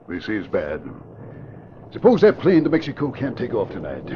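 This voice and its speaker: An actor playing an anxious, scheming crime boss in an old radio drama, agitated and halting. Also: male voice, fairly low pitch, huge pitch swings. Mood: worried, agitated. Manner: agitated, halting, swinging between alarm and calculation. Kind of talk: 1940s radio acting. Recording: muffled old radio recording with hiss.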